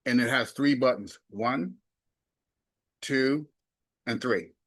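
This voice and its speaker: A man's voice: mid-range voice, slow, a bit bright, dry recording, studio quality. A man reviewing a gadget casually and hands-on, talking himself through each step in a folksy, emphatic way. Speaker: product reviewer.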